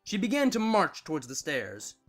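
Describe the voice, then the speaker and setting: A narrator reading fan fiction aloud in an expressive scripted storytelling style. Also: mid-range voice, moderate pace, soft background music.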